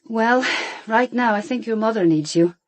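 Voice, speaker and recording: A woman playing a calm, gently persistent police officer in a radio crime drama. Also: on the high side, moderate pace, natural voice. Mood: insistent.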